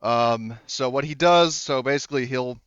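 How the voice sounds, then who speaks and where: A man narrating casually as a tabletop game master, rambling and halting with frequent self-corrections. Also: mid-range voice, fairly bright tone, clean tone, dry recording, highs cut off.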